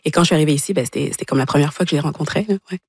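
A woman, a guest in a radio studio who talks in a halting way and often repeats words while she thinks. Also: sits low, husky, no echo. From Congo, raised in Quebec from age five. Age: 20s. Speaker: guest, actress. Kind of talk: casual interview chat.

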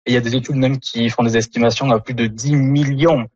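A man's voice: medium pitch, fairly even intonation, fast, a little mellow, a little hiss or hum. A young man with a southern French accent, explaining as a researcher interviewed over a dull remote connection. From Toulouse, southern France. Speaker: interview guest, mycology researcher.

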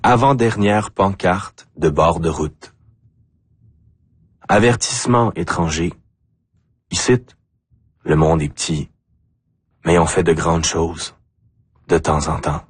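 A man with an Acadian French accent reciting spoken-word poetry deliberately, in short phrases with pauses. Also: low voice, fairly even intonation, breathy edge, no room sound. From Acadia, New Brunswick, Canada. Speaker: poet.

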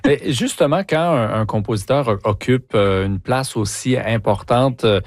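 A man's voice: mid-range voice, moderate pace, no echo. Male interviewer, dry close voice. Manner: questioning, gently guiding with fillers. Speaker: interviewer, host. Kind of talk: radio interview.

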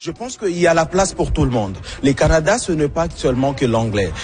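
A man's voice: on the high side, very animated, brisk, darkish tone. A man, answering interview questions earnestly and repeating words as he searches for them. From Democratic Republic of the Congo. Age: 30s. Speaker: municipal candidate.

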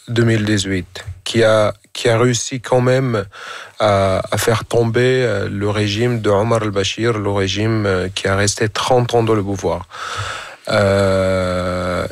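Man in his twenties, Syrian Arabic accent, lowish pitch, dry close sound, reflective, searching for words. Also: no echo. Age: late 20s. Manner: halting, searching for words in learned French. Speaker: photojournalist guest. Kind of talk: reflective interview answers.

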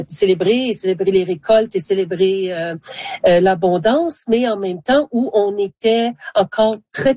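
A woman's voice: medium pitch, warm tone, dry recording. An older woman, giving hesitant conversational answers as a panel guest.

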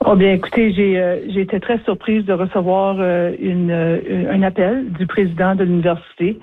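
A woman's voice: medium pitch, steady tone, moderate pace. Woman over a phone line. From northern Ontario. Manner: hesitant, many fillers and restarts. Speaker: interview guest, former chief justice. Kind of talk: phone interview. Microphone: telephone.

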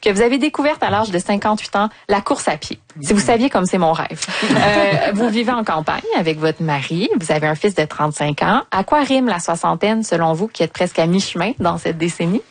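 A woman's voice: mid-range voice, lively intonation, dry recording. A woman with a Quebec French accent, hosting a radio interview and introducing guests with affirming remarks. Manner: warm, affirming, quick interjections. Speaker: radio host.

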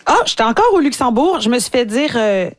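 Canadian woman, Québécois accent, animated storyteller, dry studio sound. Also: fairly high pitch, fairly quick, dry recording. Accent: Québécois French. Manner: lively and self-mocking, playing other people's lines in quotes. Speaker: guest. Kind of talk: casual storytelling chat.

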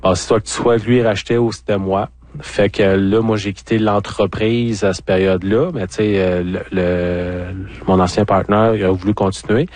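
A man with a Quebec French accent speaks in a low voice, recorded dry. He is an interview guest recounting his own experience in a candid, colloquial, conversational way. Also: fairly even intonation, normal speed, darkish tone, dry recording. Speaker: interview guest, former farmer. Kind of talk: conversational personal storytelling.

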